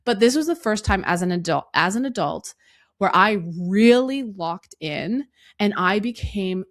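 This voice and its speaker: A woman in her thirties hosting a solo podcast, her voice hoarse and raspy from a cough, talking earnestly and reflectively to the listener. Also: lowish voice. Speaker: podcast host.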